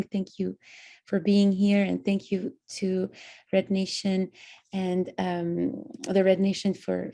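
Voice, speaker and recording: A woman from Lebanon speaking as a panelist, earnest and reflective, often pausing to search for words. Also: medium pitch, vocal fry, warm tone. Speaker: panelist, founder of an organization. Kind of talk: online panel talk.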